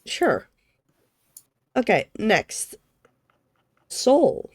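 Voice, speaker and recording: A woman's voice: a little high, wildly swooping pitch, extremely slow, husky. A sick, sniffly woman hosting a streamed tabletop game as game master, chatty and self-correcting as she rambles.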